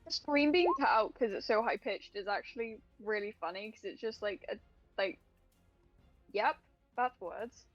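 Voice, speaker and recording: A woman's voice: mid-range voice, normal speed. A woman chatting casually as a player in an online tabletop game. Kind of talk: casual chat. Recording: dull lo-fi mic, faint music.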